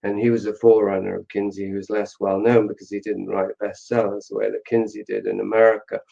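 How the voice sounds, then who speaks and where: A man speaking as an interview guest, analytical and deliberate, often pausing to correct himself, on slightly muffled audio. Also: lowish voice, level tone, gravelly, dark tone. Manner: measured, deliberate, hesitant, self-correcting. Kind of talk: unscripted analytical discussion.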